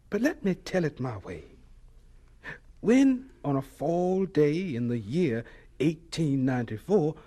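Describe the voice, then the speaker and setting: Radio drama lead: earnest young scientist, polite and hesitant, slightly high husky voice. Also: male voice, dark tone. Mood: reflective, reverent. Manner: hesitant, polite, stammers when flustered.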